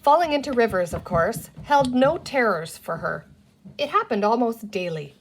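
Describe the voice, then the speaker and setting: A woman narrating a children's adventure story as an expressive read-aloud storyteller. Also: on the high side, normal speed, husky.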